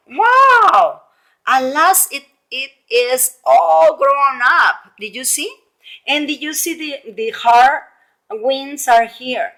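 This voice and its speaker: Slow-paced woman teacher reading to children. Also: high voice, low-quality recording. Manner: animated storytelling with exclamations and frequent questions to listeners; simple explanations with frequent repetition. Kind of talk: read-aloud lesson for young children.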